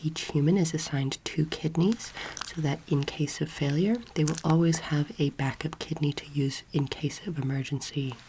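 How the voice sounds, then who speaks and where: A young woman giving a soft-spoken, half-whispered ASMR roleplay lecture in a gentle, measured, instructive manner, close to the microphone. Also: low voice, steady tone, background noise. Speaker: ASMR performer role-playing an alien anatomy teacher. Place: indoor room, seated close to camera. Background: soft clicking, crinkling and squishing sounds.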